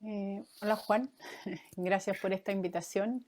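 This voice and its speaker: A woman in her fifties from Chile, a guest answering interview questions in a thoughtful way, with frequent pauses and fillers. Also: medium pitch, fairly even intonation, darkish tone, a little hiss or hum. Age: 50s. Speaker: guest, former mayoral candidate and coach.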